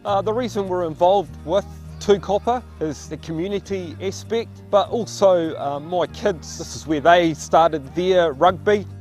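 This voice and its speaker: A man's voice: sits high, a little slow, darkish tone, faint music behind. A middle-aged man giving a friendly, earnest sponsor message, a little hesitant.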